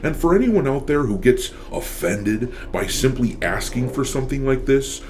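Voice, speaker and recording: A man's voice: mid-range voice, lively intonation, normal speed, a little mellow, slight background noise. A man delivering scripted opinion commentary as a channel host, with a blunt, sardonic, profane manner.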